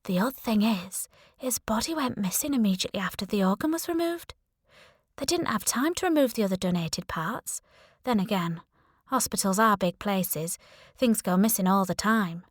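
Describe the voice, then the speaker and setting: A woman's voice: mid-range voice, animated, normal speed, dry recording, studio quality, natural voice. A woman voicing a short, mumsy nurse in an audiobook, kindly and confiding, with a soothing, motherly manner. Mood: conspiratorial.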